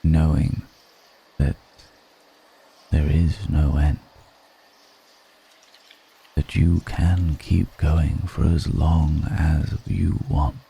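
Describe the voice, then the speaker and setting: Ancient vampire played by a male actor, ASMR roleplay: seductive, weary, brooding. Bottomless gravelly voice, deliberate pauses, crickets faintly behind. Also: a bass voice, level tone, dark tone, slight background noise, heavily acted. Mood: weary, wistful. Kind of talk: intimate ASMR roleplay monologue.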